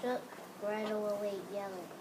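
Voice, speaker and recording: A woman storyteller reading a fairy tale aloud, haltingly, repeating and correcting phrases. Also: medium pitch, level tone. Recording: noisy room recording with hiss.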